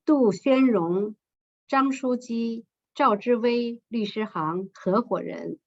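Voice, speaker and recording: A woman's voice: a little high, vocal fry. Woman formally announcing award winners. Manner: measured, ceremonial reading. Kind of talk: formal announcing of names and titles. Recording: slightly muffled lo-fi sound.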